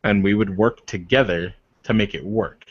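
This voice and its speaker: A man acts out a blunt male werewolf in a tabletop roleplay. The voice is lowish and emphatic, halting as he lays out his terms with exasperation. The recording is slightly dull. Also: slightly rough, no echo. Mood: earnest, firm.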